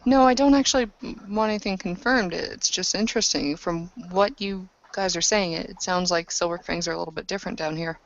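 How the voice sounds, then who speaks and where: Female tabletop player, slightly low register, conversational in-character delivery, muffled recording. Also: a little fast, few pauses. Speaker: player in a tabletop roleplaying game. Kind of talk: casual in-character roleplay chat. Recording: dull, lo-fi audio.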